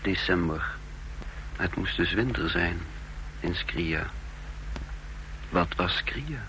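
Brooding doomed-prisoner narrator for radio drama: halting, gravelly, unhurried, dazed, on an old humming recording. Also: male voice, low voice, pushed and bright, slight background noise, heavily acted, rough recording. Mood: brooding, resigned. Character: dazed, brooding condemned prisoner telling his own story. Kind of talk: dramatic first-person radio play narration.

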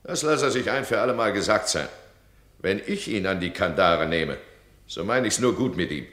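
An actor playing a domineering duke who scolds his subject in a radio play, his voice swinging between cold and loud. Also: fairly low pitch, lively intonation, normal speed. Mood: imperious. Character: domineering stern duke, absolute ruler. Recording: roomy radio drama studio.

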